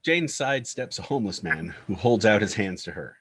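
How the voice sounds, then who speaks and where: A man narrates screenplay action and scene headings. His voice sits low with a rough, husky grain, recorded dry and close. The reading is scripted. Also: lively intonation, a little fast, no echo. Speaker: narrator reading stage directions.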